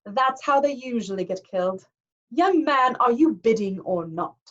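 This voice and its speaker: A woman voicing a pudgy, impatient male camp director with a scornful, slow drawl. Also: a little high, lively intonation, fairly bright tone, highs cut off, voice pushed up. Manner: drawling, scoffing, slow and emphatic.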